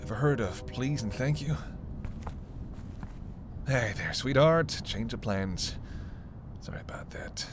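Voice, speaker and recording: A man's voice: medium pitch, lively intonation, slow pace, pauses often, over music. A man playing a weary, flirtatious vampire with teasing, sarcastic banter in an intimate roleplay audio drama. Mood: irritated, teasing. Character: sardonic, flirtatious male vampire, weary and hungry. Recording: noisy, ambient sound effects behind voice.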